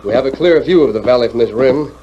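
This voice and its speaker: A man's voice: medium pitch, quick pace, warm tone, close to an everyday voice. Male actor, commanding Old West army officer, firm, 1940s radio drama, muffled, faint noise. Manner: firm, authoritative. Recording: dull, hissy 1940s radio recording.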